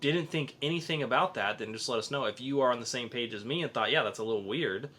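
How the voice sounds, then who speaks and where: A man's voice: medium pitch, fast, sharp tone, a little hiss or hum. A man hosting a podcast, chatting casually about comics and films. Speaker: podcast host. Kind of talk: casual comics and film review chat.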